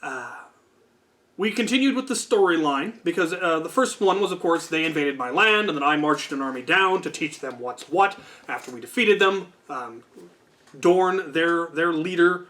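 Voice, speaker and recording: A man's voice: fairly high pitch, animated, normal speed, fairly bright tone. A man hosting a storytelling channel, talking in a casual, unscripted, discursive way with wry asides.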